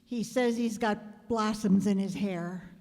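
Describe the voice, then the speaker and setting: A woman's voice: mid-range voice, slow pace, dark tone. A woman playing a reserved, worried housewife out shopping in a live radio play, answering tersely and with hesitation. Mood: reserved, uneasy. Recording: reverberant hall, live stage performance.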